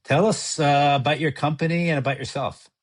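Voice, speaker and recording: A man's voice: a little high, fairly even intonation, a little fast. A man hosting a podcast, upbeat, asking questions and joking now and then. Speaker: podcast host. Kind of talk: casual podcast interview.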